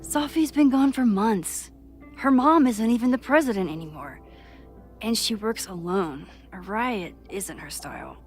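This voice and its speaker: A woman's voice: medium pitch, animated, unhurried, lightly acted. A woman acting an anxious, guilt-ridden young American woman in a video game, with a wry, self-doubting manner. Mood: thoughtful. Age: young adult. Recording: music under the voice. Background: game music.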